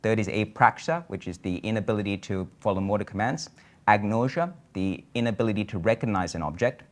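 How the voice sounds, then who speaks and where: A man giving a conference lecture on a medical topic, explaining in a measured way with frequent filler sounds. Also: on the low side.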